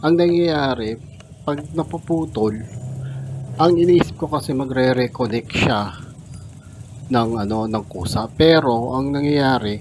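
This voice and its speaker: A man giving a casual, unscripted gear review as a vlogger, halting and repeating words as he searches for them. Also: medium pitch. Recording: clear vehicle noise behind voice.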